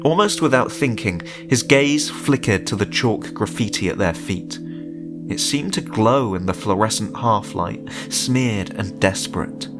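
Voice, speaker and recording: A man's voice: fairly low pitch, expressive, unhurried. A man reading a scripted horror story as narrator, in a dramatic storytelling style. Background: music.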